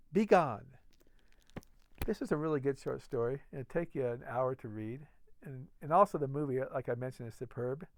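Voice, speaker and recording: Clear, higher-voiced man hosting book readings. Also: no room sound. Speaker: host of a book-reading series. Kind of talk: casual introduction and storybook narration.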